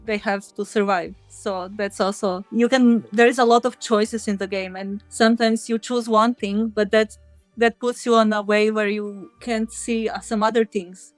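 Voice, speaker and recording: A woman's voice: medium pitch, fairly even intonation, moderate pace, no room sound, soft background music. A woman who develops games, explaining her work in conversational interview answers. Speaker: game developer.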